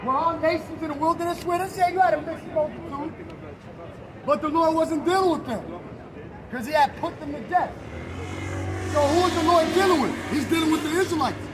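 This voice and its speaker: A man's voice: extremely high pitch, brisk, crowd noise. A man preaching on the street, reading scripture aloud and firing rhetorical questions at passersby. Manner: emphatic, fires rhetorical questions and challenges listeners. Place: outdoors on a city street near traffic. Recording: street noise outdoors.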